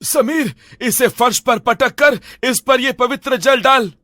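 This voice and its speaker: A man's voice: extremely high pitch, takes it slowly, pushed and bright, no echo, studio quality, exaggerated voice. A man playing a stern old holy man who fights demons, speaking with urgent, incantatory command in a horror story. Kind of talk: Hindi animated horror story acting.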